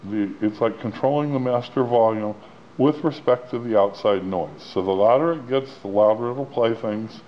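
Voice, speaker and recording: A man's voice: medium pitch, normal speed. A man giving a plain-spoken, step-by-step instructional walkthrough of a product as its demonstrator. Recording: dull lo-fi sound with clear background noise.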